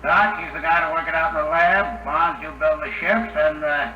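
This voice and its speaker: A cocky spaceman, acted by a man tosses off breezy slang in a high voice. It is old radio drama, roomy and clearly noisy, with a brash self-sacrificing streak. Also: normal speed, natural voice. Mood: cocky, resolute. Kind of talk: 1950s radio drama acting. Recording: noisy, echoey 1950 radio broadcast.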